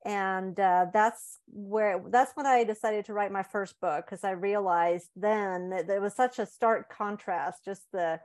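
Halting, thoughtful Swedish-born woman. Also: medium pitch, fairly even intonation, darkish tone. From Sweden. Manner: thoughtful, halting, many fillers and restarts. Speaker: author and guest. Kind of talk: casual interview conversation.

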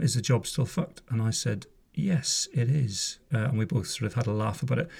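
Wry, gravelly middle-aged man chatting. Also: lowish voice, darkish tone. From Northern Ireland. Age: 50s. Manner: chatty, wry, wanders off on asides. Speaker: podcast host, former police officer. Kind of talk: casual spoken monologue. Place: home room.

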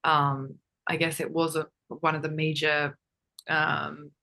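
A young woman raised in Japan talks as a podcast guest in a loose, rambling way. Her voice sits a little low, and she fills her talk with "like" and "um" and soft laughs. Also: level tone, slow pace, gravelly. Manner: chatty, many fillers and laughs. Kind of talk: casual interview chat.

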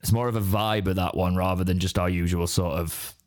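An English man with a deep, raspy, hoarse voice from straining it, rambling in casual podcast chat. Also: fairly even intonation, brisk. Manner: rambling, restarts mid-sentence. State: hoarse after straining his voice. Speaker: podcast co-host.

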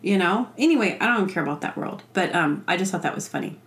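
Lower-pitched woman chatting casually solo. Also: expressive, quick pace, fairly bright tone. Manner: playful, informal, frequent "like" and "you know". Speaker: podcast host. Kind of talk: rambling casual solo chat.

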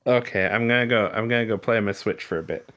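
A man with a fairly low voice chats casually as a podcast co-host. He speaks haltingly, doubling back and repeating words. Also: a bit bright. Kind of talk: casual joking chat.

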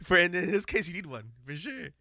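A man's voice: high-pitched, sing-song, fairly quick, sharp tone, dry recording, clean studio sound. A man in a casual group chat who tells stories animatedly and laughs a lot.

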